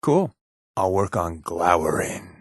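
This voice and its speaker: Wry american undercover agent sidekick from a video game, jokey casual patter, really animated pitch, dry recording. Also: male voice, slow pace, breathy edge, dry recording, exaggerated voice. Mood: breezy, joking. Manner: glib, wisecracking, casual patter. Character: wry, sarcastic undercover resistance agent and companion. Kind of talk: video game companion dialogue.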